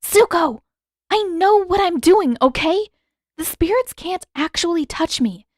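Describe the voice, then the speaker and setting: A woman's voice: very high voice, animated, unhurried, dry recording, clean studio sound, pitched above the performer's own voice. A woman voicing a feisty, stubborn teenage girl heroine in a fantasy audiobook, with emphatic, exasperated delivery. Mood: insistent.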